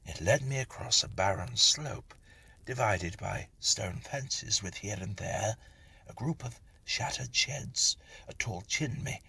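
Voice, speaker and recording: A man reading a Victorian ghost story as scripted narration, in a husky, breathy, hushed and dramatic storytelling manner. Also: fairly low pitch.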